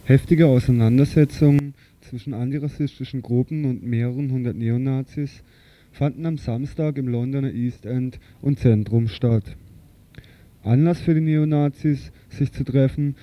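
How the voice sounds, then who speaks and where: A man reading scripted news reports for radio. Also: mid-range voice. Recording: slightly dull, lo-fi radio audio.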